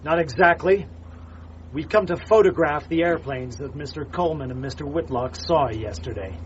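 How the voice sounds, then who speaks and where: A man playing a dogged American reporter in a radio play. His voice is slightly high and sardonic, with an American accent, muffled over faint vehicle noise. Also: normal speed, natural voice. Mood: sceptical, sardonic. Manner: sardonic, informal. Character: dogged, sceptical American newspaperman, wry and dry. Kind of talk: radio drama acting.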